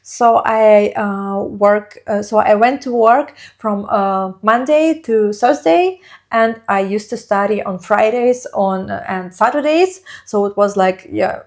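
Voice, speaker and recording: A woman's voice: mid-range voice, takes it slowly, darkish tone. A young woman co-hosting a casual podcast chat, talkative and giggly, with many hesitant fillers. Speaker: co-host.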